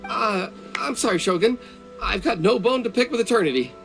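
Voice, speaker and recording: A man's voice: sits high, animated, fairly quick, gravelly, a bit bright, soft background music, full character voice, rough recording. A man voicing a humble, nervous drink vendor in a video game, deferential and polite. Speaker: shopkeeper.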